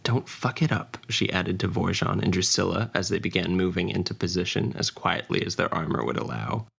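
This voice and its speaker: A man's voice: sits low, brisk, gravelly, a bit bright, breathy edge. A man narrating a scripted fantasy adventure story as its dungeon master and storyteller. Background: faint ambience and music.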